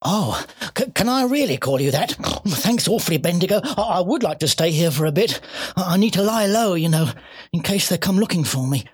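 Woman as a high, polite young piglet. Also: lively intonation, fairly quick, darkish tone, husky. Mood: grateful, earnest. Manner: courteous, hesitant, earnest, old-fashioned well-bred phrasing. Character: polite, innocent young male runaway piglet. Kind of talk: children's storybook character voice.

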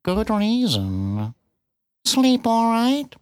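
A woman's voice: fairly high pitch, huge pitch swings, extremely slow, darkish tone, dry recording, clean studio sound, voice pushed up, full character voice. A woman voicing a battered, friendly ginger tomcat in a children's story, biting off short phrases and spitting them from the side of the mouth. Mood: terse.

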